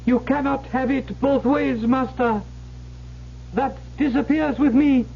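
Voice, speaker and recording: A woman playing a sly captive imp, a devil's apprentice, in a radio drama, speaking slowly, deliberately and formally. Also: high-pitched, steady tone, creaky, exaggerated voice. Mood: coaxing, ominous. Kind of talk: 1970s radio drama acting. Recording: dull, noisy old radio recording with hum.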